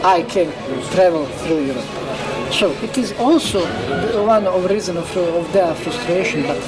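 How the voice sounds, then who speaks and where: A man with a Bosnian accent giving an earnest interview in non-native English, halting and repeating himself as he searches for words. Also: high voice, poor audio. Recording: loud music and crowd behind.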